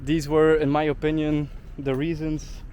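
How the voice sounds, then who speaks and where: A man's voice: fairly high pitch, completely flat delivery, unhurried, a little mellow, a little hiss or hum. Indignant young man ranting outdoors. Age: young adult. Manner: indignant, heavy use of "like" fillers. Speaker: travel vlogger. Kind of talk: ranting vlog monologue.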